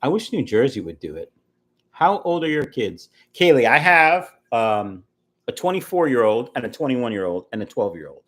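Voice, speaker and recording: Man in his fifties, lively pitch, middle-range voice, rambling live-chat host reading comments aloud. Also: normal speed. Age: 50s. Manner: chatty, rambling, answers viewer comments aloud.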